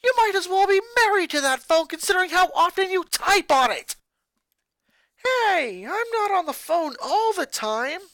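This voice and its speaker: A woman voicing an angry, resentful young boy who rants and shouts at his family. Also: very high-pitched, lively intonation, normal speed, no room sound, studio quality, pitched above the performer's own voice. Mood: furious. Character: furious boy, eleven-year-old, bitter and resentful.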